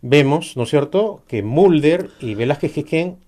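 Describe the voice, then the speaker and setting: A middle-aged Peruvian man talks politics in an interview, pressing his points hard. His pitch moves up and down a lot, and he stops often on fillers before going on. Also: lively intonation, a little slow, warm tone. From Peru. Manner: emphatic, argumentative, many hesitations. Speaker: political guest.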